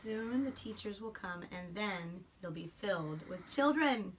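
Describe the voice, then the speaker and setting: A woman's voice: medium pitch, expressive, a little slow, close to an everyday voice. A woman voicing a kindly, reassuring male school janitor from a picture book, in an encouraging way. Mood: promising. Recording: noisy, distant home microphone.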